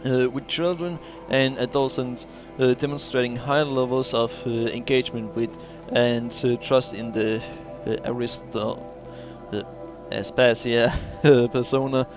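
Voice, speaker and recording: A man casually playing a video game and reading its text logs aloud. He reads haltingly, filling gaps with "uh" and the odd chuckle, in a voice of middling pitch. Game music plays faintly behind him. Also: steady tone. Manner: halting read-aloud with many "uh" fillers and chuckles. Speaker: gamer playing through a game.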